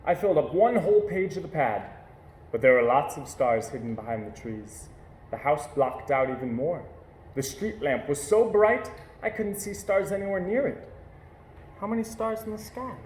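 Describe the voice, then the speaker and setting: A man reading a children's picture book aloud with gentle, measured storytelling. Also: fairly high pitch. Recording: echoey room with faint hiss.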